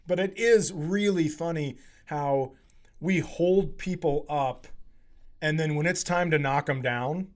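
A male comedian hosting a podcast in casual comic chat, riffing in an animated, profane, self-mocking way. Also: a little high, slow pace.

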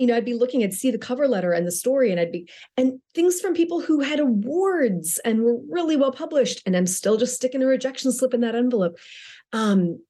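A woman's voice: medium pitch, dark tone. Chatty woman author, restarts often. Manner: chatty, self-interrupting, light laughs. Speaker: author being interviewed. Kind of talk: casual interview answers.

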